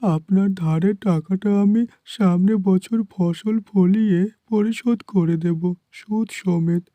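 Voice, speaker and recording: A man voicing a poor, desperate village farmer in a cartoon, pleading and haltingly repeating his words. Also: high-pitched, fairly even intonation, mellow, no echo.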